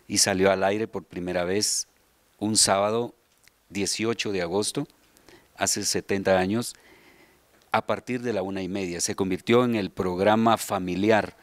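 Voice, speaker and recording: A middle-aged man with a Guatemalan accent recounts history as an interview guest. His voice is on the low side, and he goes haltingly as he searches for words. The handheld microphone gives a dry sound. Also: no echo. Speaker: interview guest, children's radio theatre deputy director. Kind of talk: conversational interview storytelling. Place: large tiled hall indoors, group seated behind.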